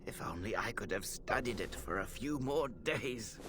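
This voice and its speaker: A man playing a grave, compassionate, scholarly temple healer in a video game, with formal, thoughtful, measured delivery. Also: fairly low pitch, animated, background noise, natural voice. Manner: solemn, formal, thoughtful, old-fashioned diction. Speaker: video game character voice actor. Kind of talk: scripted game dialogue, dramatic and measured.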